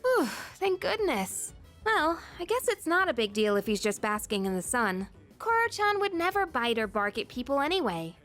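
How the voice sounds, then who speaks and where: An actress playing a bubbly, casual, expressive teenage girl in a video game. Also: sits high, normal speed, soft background music. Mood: relieved, thoughtful. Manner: lively, casual.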